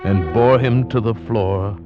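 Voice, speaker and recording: An actor playing an anxious gentleman narrator in a formal, theatrical manner of 1940s radio horror. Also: on the low side. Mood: grave horror. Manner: formal, theatrical, deliberate storytelling. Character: anxious gentleman narrator, houseguest reading an old tale aloud. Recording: dull vintage radio recording with music.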